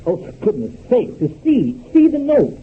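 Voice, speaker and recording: An actor playing a pompous, easily exasperated young American announcer, in 1930s radio comedy style. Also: male voice, high voice, wildly swooping pitch, normal speed, slightly rough, heavily acted. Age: young adult. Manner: pompous, exasperated, formal diction. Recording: tinny, noisy 1930s radio recording.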